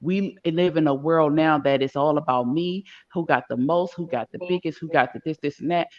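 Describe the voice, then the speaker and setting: A woman's voice: deep voice, moderate pace, lo-fi sound. A woman giving earnest, emphatic opinions as a talk show panelist, repeating her points.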